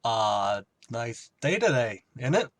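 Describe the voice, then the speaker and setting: A man's voice: medium pitch, slow, no room sound. A man playing a dim-witted, blundering, friendly tavern regular in an old-time radio sitcom. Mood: dopey, cheerful. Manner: dopey, tactless, excitable.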